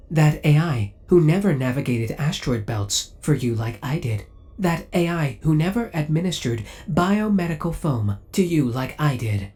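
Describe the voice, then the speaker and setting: A man's voice: medium pitch, darkish tone. A man playing a possessive, coolly obsessive male spaceship AI in an ASMR audio roleplay, speaking with formal, precise, robotic politeness. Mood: jealous, wounded.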